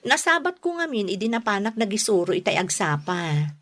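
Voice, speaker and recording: A woman's voice: medium pitch, sing-song, fairly quick, fairly bright tone. A woman with an Ilocano accent playing a nosy, teasing older sister in a radio drama. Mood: inquisitive.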